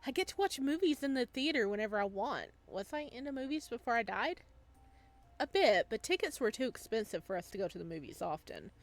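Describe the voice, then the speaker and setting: A woman's voice: on the high side, sing-song, fairly quick. Woman voicing a wistful, wounded young male ghost. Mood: wistful, musing. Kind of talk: visual novel narration and dialogue reading.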